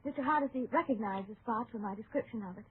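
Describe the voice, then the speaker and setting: A woman's voice: fairly high pitch, fast, natural voice. An actress playing a worried, earnest young lady, polite and pleading, in an old-time radio western. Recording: noisy, tinny old radio recording.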